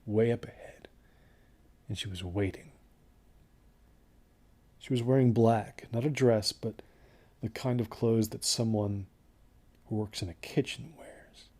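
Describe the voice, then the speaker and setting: A man acting as a worn-out survivor of a desert ordeal, with a low, husky voice and a mellow, dark tone. He tells his horror story in halting, hushed phrases, as if still haunted. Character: traumatized, exhausted man recounting a doomed desert trek. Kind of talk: slow first-person horror audio drama narration.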